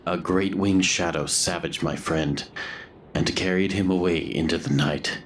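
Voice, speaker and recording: A man playing a haunted grave robber who narrates a gothic horror audio drama in brooding, literary, measured phrases. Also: deep voice, fairly even intonation, a little hiss or hum. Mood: grim, haunted. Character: haunted male grave robber narrating his grim confession.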